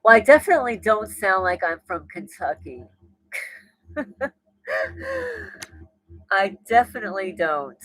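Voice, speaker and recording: A woman's voice: medium pitch, expressive, measured pace, long pauses, a bit bright, dry recording, low-quality recording. A woman with a New Jersey accent hosting a casual livestream, reading and answering viewer comments as she goes. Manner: chatty, thinks aloud, frequent restarts and laughs. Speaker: livestream host.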